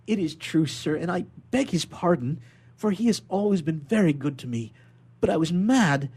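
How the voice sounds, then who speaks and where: A man's voice: fairly high pitch, lively intonation, normal speed, a little mellow, husky, voice pushed up. A man voicing a desperate young sailor held prisoner, pleading earnestly and politely in a dramatic audiobook reading. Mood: humble, fervent. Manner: impassioned, earnest, imploring, formally polite.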